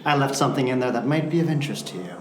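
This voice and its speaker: A woman's voice: very deep voice, expressive, racing. A woman acting in a comedic radio play. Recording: echoey room, faint background noise.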